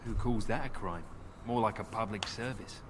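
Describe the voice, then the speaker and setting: A man's voice: on the low side, moderate pace, a bit bright, slight background noise, lightly acted. A man playing a sardonic, world-weary prisoner in a fantasy video game, with a wry and familiar manner. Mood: wry, resigned.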